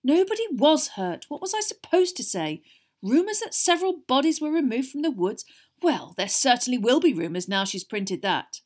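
A tired middle-aged wizard dad from a children's storybook, voiced by a woman reader. He speaks in a measured, heavy way, trying to keep calm while exasperated. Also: mid-range voice, close to an everyday voice. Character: weary, soothing middle-aged wizard father.

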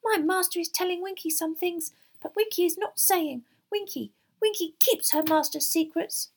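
A woman voicing a small, indignant female house elf servant for a storybook, squeaky and shrill, speaking in servile broken grammar. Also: moderate pace. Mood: agitated. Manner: wailing, broken servile grammar, third-person speech.

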